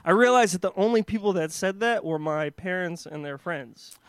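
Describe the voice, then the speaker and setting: A man's voice: sits high, normal speed, clean tone, no room sound. A man from Iran, a podcast co-host, telling stories in casual chat and laughing often. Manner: animated storyteller, laughs often.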